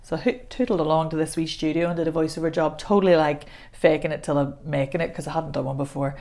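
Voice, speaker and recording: A woman, a voice coach chatting as a podcast guest, self-deprecating and quick to laugh. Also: sits low, steady tone, a little mellow. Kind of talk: casual podcast conversation.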